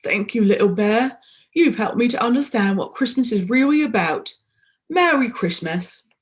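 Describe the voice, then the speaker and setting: A woman's voice: a little high, lively intonation, moderate pace, voice pushed up, lightly acted. A woman voicing a bewildered bearded man from a children's storybook, exclaiming in short blurts. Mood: moved, grateful. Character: confused, gruff-mannered bearded man mistaken for Santa, softening.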